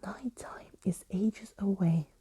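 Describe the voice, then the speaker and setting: A woman's voice: medium pitch, slow, dark tone, husky, no room sound. A woman voicing a grumpy, sceptical, sarcastic girl in a whispered storybook reading. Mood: impatient. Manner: complaining, sarcastic. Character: Daisy, grumpy sceptical sharp-tongued girl.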